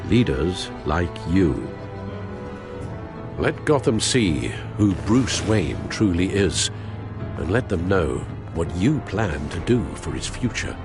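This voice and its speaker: Older male butler, game drama: low voice, formal, fatherly, earnest, music underneath. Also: big pitch swings, slow, exaggerated voice. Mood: earnest, proud. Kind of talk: video game voice acting. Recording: music behind the voice.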